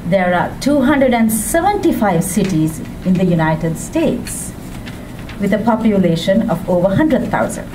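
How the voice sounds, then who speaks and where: A woman from Sri Lanka giving a public speech as a women's rights advocate, with a measured, deliberate delivery and emphatic rises. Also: medium pitch, animated. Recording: distant mic with background noise.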